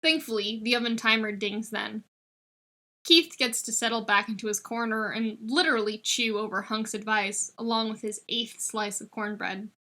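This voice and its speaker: A woman narrates scripted fiction expressively. Her voice sits fairly high and has a very bright, forward edge. She reads as a storyteller would, shaping each line of the narration. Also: steady tone, normal speed. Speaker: fan fiction reader.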